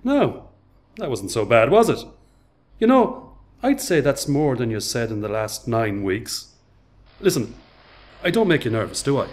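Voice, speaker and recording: A man's voice: medium pitch, big pitch swings, unhurried. An actor with an Irish accent playing a patient, kindly tradesman in a radio play, explaining and encouraging in informal speech. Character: patient, kindly male tradesman mentoring a young apprentice.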